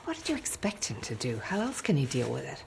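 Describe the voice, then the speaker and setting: World-weary, reproachful wife, low female voice with fry and wide swings, naturalistic drama, noisy recording. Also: big pitch swings, quick pace, full character voice. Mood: exasperated. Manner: reproachful, sardonic. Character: comedian's exasperated, blunt, world-weary wife.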